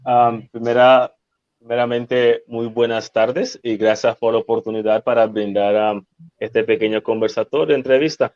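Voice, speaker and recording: A man's voice: mid-range voice, steady tone, normal speed, darkish tone, no room sound, muffled recording. A man with a Nicaraguan Caribbean coast accent, a native Creole English speaker, talking formally as an interview guest. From Bluefields, Caribbean coast of Nicaragua. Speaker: community leader guest.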